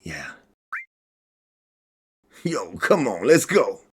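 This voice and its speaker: A man voicing a brash, impatient, foul-mouthed male adventurer with loud, slangy delivery in a comedic game parody. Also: lowish voice, very animated, extremely slow, breathy edge, exaggerated voice.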